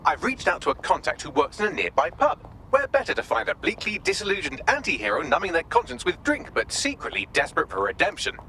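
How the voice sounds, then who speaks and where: A man's voice: sits high, huge pitch swings, fast, sharp tone, slight background noise, heavily acted, poor audio. A man voicing a wry, wordy artificial intelligence assistant with a British accent, guiding missions in a video game. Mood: wry, theatrical. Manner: wry, wordy, sardonic, crisp. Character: droll, theatrical male artificial intelligence assistant.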